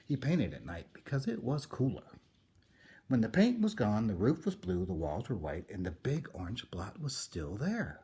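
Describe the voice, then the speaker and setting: Man, children's story reader, highly animated intonation, soft-toned, theatrical pauses. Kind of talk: expressive children's picture book read-aloud.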